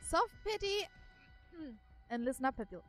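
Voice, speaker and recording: A woman's voice: sits high, very animated, a little slow. A young woman with a German accent, casually explaining a game as she streams, chatty with many fillers. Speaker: gaming streamer. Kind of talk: casual gameplay commentary. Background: faint game music.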